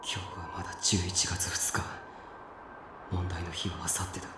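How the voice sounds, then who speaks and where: A man's voice: deep voice, flat delivery, fast, whispered, natural voice. An actor playing a troubled, emotionally volatile teenage boy in a visual novel. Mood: anxious whispering. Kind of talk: Japanese visual novel voice acting.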